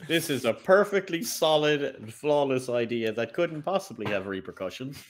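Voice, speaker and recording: A man's voice: medium pitch, expressive, moderate pace. A man co-hosting a casual discussion of an audio drama in a wry, teasing way. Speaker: co-host discussing a radio drama episode. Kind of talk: casual chat.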